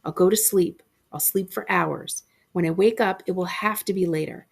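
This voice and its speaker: A woman's voice: on the low side, moderate pace, creaky, slightly rough. A woman voicing a bewildered, frightened young boy in a storybook reading, sounding incredulous. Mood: uneasy, disbelieving. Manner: incredulous, stammering when scared.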